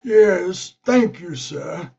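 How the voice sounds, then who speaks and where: A woman's voice: lowish voice, expressive, very slow, gravelly, dark tone, exaggerated voice. An actress playing a wide-eyed, puzzled, polite woman in an audio play. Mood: amazed. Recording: dull, distant lo-fi sound.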